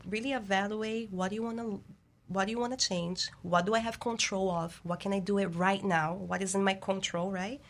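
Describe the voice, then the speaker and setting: A woman with a Brazilian accent, a Portuguese speaker, giving encouraging fitness advice as an interview guest, animated with small slips. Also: mid-range voice. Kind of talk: interview answers, coaching advice.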